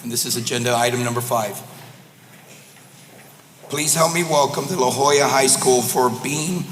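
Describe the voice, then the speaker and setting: A slightly hoarse man runs a school board meeting in a formal, courteous way, calling names and announcing agenda items. He is heard in a large, roomy hall with a long reverb. A faint hum of room noise sits under his voice. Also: medium pitch, moderate pace, a bit bright.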